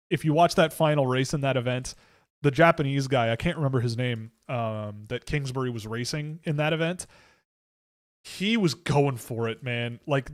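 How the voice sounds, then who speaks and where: A young man hosting a livestream, rambling excitably with frequent restarts. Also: medium pitch. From Canada. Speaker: livestream host. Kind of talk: casual livestream chat.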